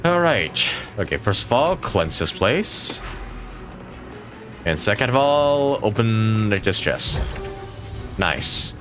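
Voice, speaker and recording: A man with a low voice streams a video game with clear music behind him. His delivery is casual and rambling, thinking aloud, with pitch that moves around in a lively way. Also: slow pace, long pauses. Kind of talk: casual live gameplay commentary.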